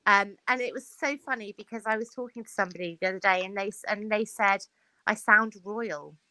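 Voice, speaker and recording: A woman from England with a southern English accent, chatting casually on a late-night stream with a giggly, quick manner. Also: medium pitch, bright, forceful tone, dry recording, poor audio. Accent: southern English, near Bristol. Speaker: stream host. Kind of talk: casual chat.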